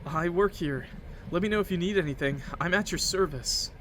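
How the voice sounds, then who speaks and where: A man's voice: high-pitched, normal speed, slight background noise. A man voicing a shy, hesitant, eager-to-please young male train attendant in a visual novel. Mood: nervous, apologetic. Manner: hesitant, apologetic, soft-hearted.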